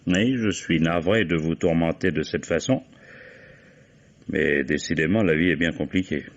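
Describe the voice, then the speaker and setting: A shrewd police commissioner with a very low voice. He is measured and mock-polite, quietly ironic in a radio mystery style. The recording is dull and dated. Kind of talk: vintage French radio mystery acting.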